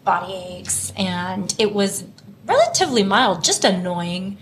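A slightly hoarse woman hosts a casual chat, talking through wellness ideas with loose, chatty fillers. Her voice sits at an ordinary middle pitch. A faint hum of room noise sits behind her. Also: big pitch swings, a little slow, fairly bright tone. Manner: chatty, talks through health tips with fillers. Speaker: host. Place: podcast table in a home studio.

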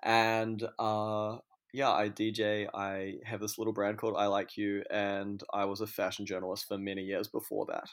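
A man's voice: fairly low pitch, monotone, moderate pace. A man in his thirties with a New Zealand accent, talking casually and telling stories as an interview guest. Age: 30s. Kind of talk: casual conversational storytelling.

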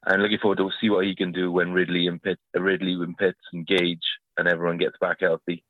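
A man's voice: low voice, level tone, fast talker, no echo. A man from the UK leaving an eager, rambling voicemail as a caller. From United Kingdom. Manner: rambling with many restarts, eager. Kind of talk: voicemail monologue. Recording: tinny phone line.

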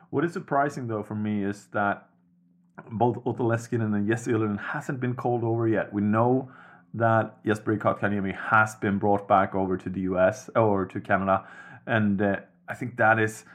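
Low-voiced man hosting a hockey podcast. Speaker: podcast host. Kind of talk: casual hockey podcast chat.

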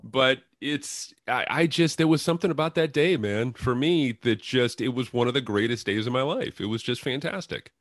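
A man's voice: medium pitch, moderate pace, few pauses. A man hosting a sports interview in a conversational style. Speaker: podcast host.

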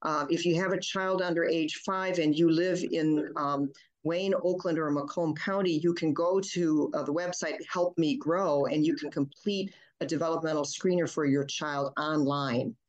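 Low-voiced woman pediatrician explaining, halting. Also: fairly even intonation, dull audio. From Michigan, USA. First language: German. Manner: halting, many ums and restarts. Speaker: pediatrician guest. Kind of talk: explanatory interview talk.

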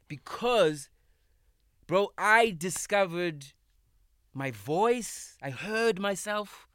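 A man in his thirties, a comedian guest in a podcast studio, talking casually, emphatic and animated, swearing freely. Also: high-pitched, drawn-out words, dry recording. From South Africa. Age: 30s. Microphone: studio microphone.

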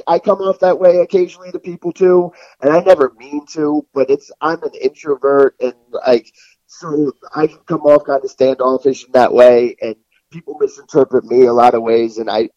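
Muffled, dry recording. Mellow male sports analyst, halting and casual, mid-pitched. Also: steady tone, no echo. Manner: halting, repeats words. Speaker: guest football reporter. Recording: muffled, lo-fi call sound.

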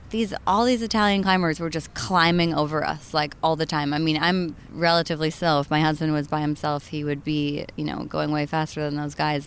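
A woman's voice: low voice, slight background noise. A woman from Maine, a journalist in her thirties, chatting as an interview guest, laughing often and trailing into fillers. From Maine, USA. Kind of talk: casual interview conversation.

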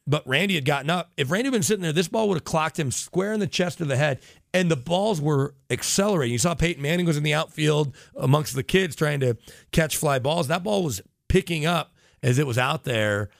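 A man's voice: a little high, fairly quick, creaky, no room sound, clean studio sound. A middle-aged American man, a radio reporter guesting on a podcast, tells rambling anecdotes in casual, talkative chat.

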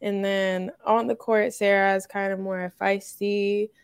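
A young woman from Finland talks through her story with plenty of ums and little laughs. Her voice sits in an even, narrow band, with a gravelly edge under a smooth, resonant tone. The sound is dry and close. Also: mid-range voice, measured pace, dry recording. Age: young adult. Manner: hesitant, many ums, soft laughs. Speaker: college basketball player guest. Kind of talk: casual interview answers.

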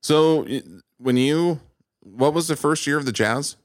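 A man's voice: on the high side, a little slow, no echo, studio quality. A man conducting a casual, conversational interview, putting questions and comments to a guest.

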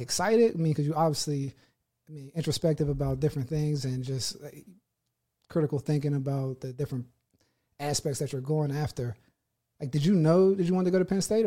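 A man's voice: on the high side, brisk, mellow. A male podcast host asking casual, rambling interview questions full of fillers.

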